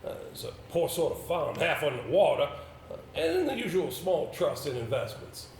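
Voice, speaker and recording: Man playing a creaky, avuncular older solicitor. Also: on the high side, sing-song, normal speed, a little mellow. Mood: musing, avuncular. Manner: hesitant, musing, throat-clearing. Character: avuncular older male solicitor, senior boss, rambling, brisk. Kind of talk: amateur stage play acting. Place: indoor stage, picked up with room sound. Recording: roomy, noisy amateur theatre recording.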